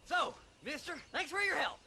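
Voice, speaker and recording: An actor playing a shabby, eccentric Old West drifter with a folksy American accent in a video game. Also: male voice, very high voice, expressive, moderate pace, creaky, noisy background, exaggerated voice. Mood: eager, twitchy. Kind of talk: video game cutscene acting.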